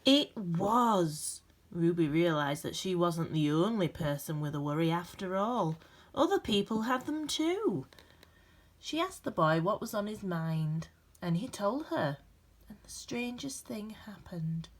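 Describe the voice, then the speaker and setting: A woman reading a children's picture book aloud with gentle, expressive storytelling emphasis. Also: fairly low pitch, measured pace. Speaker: storybook reader.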